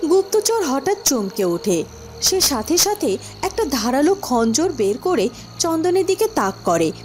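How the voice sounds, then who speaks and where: A woman's voice: high voice, sing-song, measured pace, darkish tone, soft background music. A woman narrating a scripted fairy-tale cartoon story.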